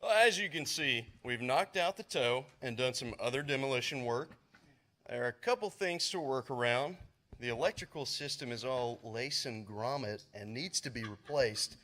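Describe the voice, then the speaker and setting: A man plays a no-nonsense contractor in a storybook stage parody. His voice is mid-pitched and everyday, reporting on the job in a plain, practical way. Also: lively intonation, normal speed, a bit bright, close to an everyday voice. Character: matter-of-fact male building contractor named Bill. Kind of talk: scripted stage parody dialogue.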